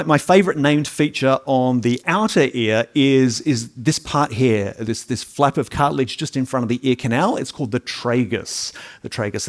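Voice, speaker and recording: Jokey middle-aged New lecturer. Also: male voice, medium pitch. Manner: chatty and jokey, with audience asides and restarts. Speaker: hearing science lecturer. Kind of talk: public science talk to a live audience.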